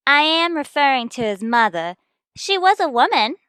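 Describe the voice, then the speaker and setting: A sassy, brash young woman, voiced by a woman, in old-time radio comedy style. Her high, bright voice swoops widely as she fires back comebacks. The sound is close and dry. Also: very animated, moderate pace, no room sound.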